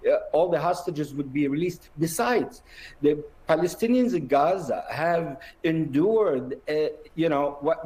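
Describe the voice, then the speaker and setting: A man from Jerusalem appears as a guest on a television news program, his voice somewhat higher than most men's. He talks firmly and stresses his points with emphasis. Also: darkish tone, rough recording. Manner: firm, emphatic. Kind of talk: live TV news interview.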